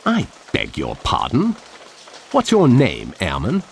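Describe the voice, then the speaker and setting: An actor playing a haughty, brusque British colonel in a video game, with a British accent and an authoritative manner. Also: male voice, lowish voice, wildly swooping pitch, slow pace, gravelly, a little hiss or hum, exaggerated voice. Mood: indignant. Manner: authoritative, indignant at outsiders. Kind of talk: video game cutscene acting.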